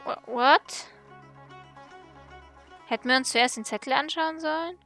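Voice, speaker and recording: Bright, high-voiced woman commenting on a game. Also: a little slow, soft background music. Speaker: game streamer. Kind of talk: casual Let's Play commentary.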